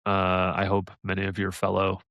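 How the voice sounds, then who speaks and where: Podcast guy, kinda low voice, laughs a lot, lots of likes and ums, dry sound. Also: normal speed, vocal fry, dry recording. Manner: animated, laughs often, trails off with fillers. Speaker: podcast co-host. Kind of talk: casual podcast chat.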